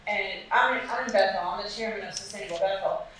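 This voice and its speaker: A woman who chairs a local sustainability group, speaking earnestly and slowly from the audience. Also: mid-range voice, fairly bright tone, slight background noise. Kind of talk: comment from audience. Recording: echoey hall from far off.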